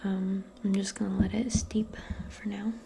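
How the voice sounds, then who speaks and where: A woman speaking softly and breathily, often in a whisper, in a gentle, casual ASMR role play as a modern witch. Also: mid-range voice, flat delivery, gravelly, slight background noise. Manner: unhurried, gentle, casual murmuring. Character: modern witch giving a calming spell appointment. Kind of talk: soft-spoken ASMR personal attention role play.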